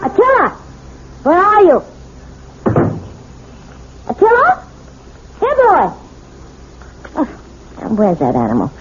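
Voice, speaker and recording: A high, swooping, doting housewife with a secret. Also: female voice, wildly swooping pitch, slow pace, long pauses, exaggerated voice. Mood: fond searching. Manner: theatrical, cooing and calling to a dog. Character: married woman doting on her dog, quietly scheming, outwardly polite. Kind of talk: radio mystery drama acting. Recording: dull, noisy old radio broadcast recording.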